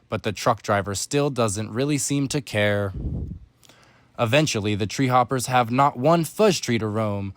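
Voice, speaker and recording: A man's voice: lowish voice, a bit bright, no echo. A man narrating a rhyming children's story as a scripted read-aloud.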